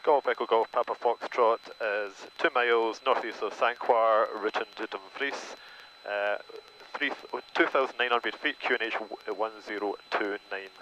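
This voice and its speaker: A man's voice: on the low side, fairly even intonation, moderate pace, sharp tone. Male pilot on a dull, noisy cockpit intercom. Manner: formulaic, reading back instructions. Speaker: light aircraft pilot. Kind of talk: clipped aviation radio calls. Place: small propeller aircraft cockpit. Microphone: aviation headset. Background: engine drone.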